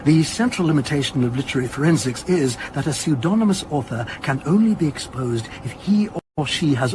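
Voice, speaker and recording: A man's voice: a little high, background noise, natural voice. An actor playing a male academic curator in a radio drama, explaining his field with pedantic, self-amused eagerness. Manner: explanatory, donnish, animated. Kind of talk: naturalistic radio drama acting.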